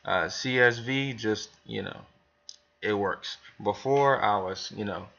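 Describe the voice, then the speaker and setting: Male trainer, lowish voice, informal and rambling step-by-step walkthrough, muffled lo-fi sound. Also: slow, vocal fry, a bit bright. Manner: informal, rambling, thinking aloud. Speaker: business trainer demonstrating software to new hires. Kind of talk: casual screen tutorial, talking through steps.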